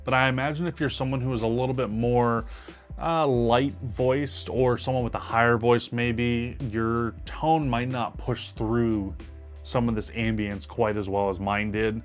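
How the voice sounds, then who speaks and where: A man's voice: medium pitch, fairly even intonation, measured pace, soft background music. A man with a powerful voice giving an upbeat, emphatic product review to camera. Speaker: tech reviewer.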